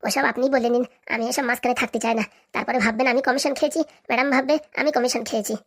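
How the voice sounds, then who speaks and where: A woman plays a cunning, boastful henchwoman in a comic cartoon. She speaks high and extremely fast. Her manner is assertive and conspiratorial, as she soothes her boss like a fixer. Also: fairly even intonation. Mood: sly. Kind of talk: comic cartoon drama acting.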